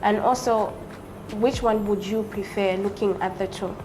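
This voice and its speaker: A woman from Zambia hosting an interview, asking friendly questions with soft fillers. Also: mid-range voice, steady tone, moderate pace, over music, low-quality recording. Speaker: interview host.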